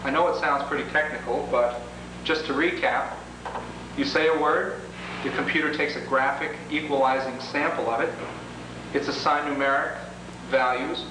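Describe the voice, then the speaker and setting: A man's voice: medium pitch, fairly even intonation, moderate pace. A man presenting an instructional product demonstration, explaining each step methodically in formal phrasing. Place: indoors, in a room with equipment. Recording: echoey room, clearly noisy old home video.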